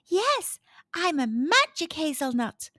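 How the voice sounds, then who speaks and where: A woman's voice: high-pitched, sing-song, takes it slowly, bright, forceful tone, no room sound, clean studio sound, voice pushed up, full character voice. A woman voicing a small magic talking hazelnut in a children's story, a kindly wish granter with a playful, inviting manner.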